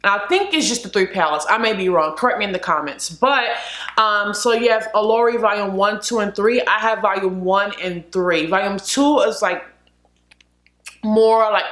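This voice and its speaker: A woman's voice: mid-range voice, fairly bright tone. A woman vlogger chatting casually to camera, a little sniffly from a cold, full of "like" and "literally". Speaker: beauty vlogger. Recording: echoey room.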